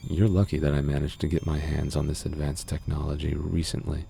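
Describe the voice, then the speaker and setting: A man playing a mysterious, guarded cyberpunk underworld doctor in an ASMR roleplay, speaking gently in a measured, formal, reassuring way. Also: extremely low pitch, level tone, gravelly, slight background noise, full character voice. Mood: probing, guarded. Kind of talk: ASMR roleplay, soothing scripted monologue.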